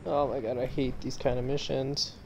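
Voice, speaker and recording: A man's voice: mid-range voice, flat delivery, normal speed, a little hiss or hum. Man in casual gaming chat. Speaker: video game player.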